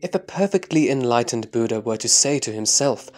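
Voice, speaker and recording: A man playing an earnest, humble disciple in a scripture audio drama, answering in a reverent, deliberate, formal way. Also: mid-range voice, lively intonation, a little mellow, faint music behind, lightly acted. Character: Subhuti, earnest humble male disciple of the Buddha.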